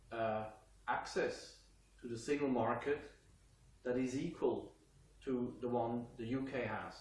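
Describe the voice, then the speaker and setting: A man with a Swiss accent talks straight to camera, earnest and unpolished, filling pauses with "uh" and "um". His pitch sits in an ordinary middle range. He sounds set back from the mic in a roomy, echoey space. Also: recorded from a distance, slight background noise. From Switzerland. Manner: earnest, uses many fillers and pauses. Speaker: commentator explaining politics. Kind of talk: direct-to-camera explainer talk.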